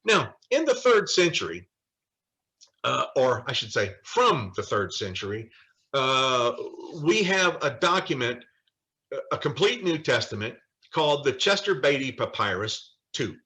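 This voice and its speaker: A man's voice: medium pitch, very animated, measured pace, sharp tone. A man leading a Bible study as an informal lecture from notes, speaking emphatically with wry asides. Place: online video call study session.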